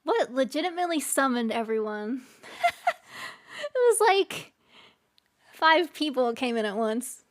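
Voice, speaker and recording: A woman's voice: high-pitched, very animated, unhurried, long pauses. A woman streaming live, chatting casually with her chat, laughing often and trailing off with fillers. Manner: giggly, laughs often between lines.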